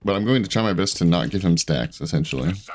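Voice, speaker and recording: A man in his twenties giving casual, chatty gameplay commentary from his room, self-deprecating and quick to laugh. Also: sits low, lively intonation, a little mellow, slight background noise. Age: 20s. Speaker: gaming streamer. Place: home room at a desk.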